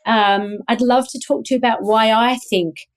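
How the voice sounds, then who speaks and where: A woman's voice: a little high, fairly even intonation, moderate pace. A woman with a Melbourne accent, speaking as a guest in a casual interview, rambling with many ums and restarts. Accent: Australian (Melbourne). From Australia. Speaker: guest, activist.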